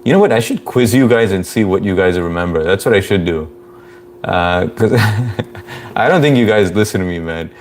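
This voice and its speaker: Low-voiced guy doing a chill live stream, flat monotone voice, reads chat out loud, little hum in the background. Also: moderate pace. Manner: laid-back, reads chat aloud, chuckles often. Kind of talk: casual live stream chat reading comments.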